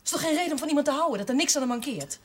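An actress playing a curt, stubborn young farm daughter in a television period drama. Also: female voice, high-pitched, expressive, rapid-fire. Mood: defiant. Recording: faintly noisy TV soundtrack, clinking dishes.

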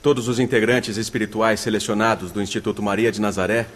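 An actor with a Brazilian accent playing a formal, dutiful spirit officer in a radio drama. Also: male voice, on the low side, level tone, fast talker, a bit bright.

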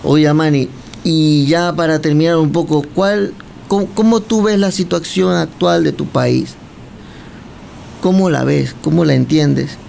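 A man's voice: fairly high pitch, fairly even intonation, gravelly, darkish tone, a little hiss or hum. A man from Cuba, chatting and teasing as he questions a guest and tells long stories. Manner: chatty, teasing, drawn-out storytelling. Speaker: guest interviewer. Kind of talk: casual chat.

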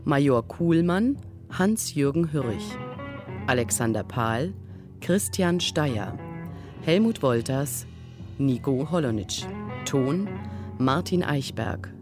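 A woman announces radio play credits in a low, very unhurried voice. Plucked guitar music plays clearly behind her. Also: animated. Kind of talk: scripted radio credits reading.

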